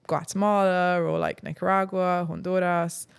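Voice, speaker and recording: A woman's voice: lowish voice, flat delivery, normal speed, gravelly, smooth. A young woman from England chatting casually as a podcast guest, rambling with lots of fillers and easy laughter. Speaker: travel vlogger guest.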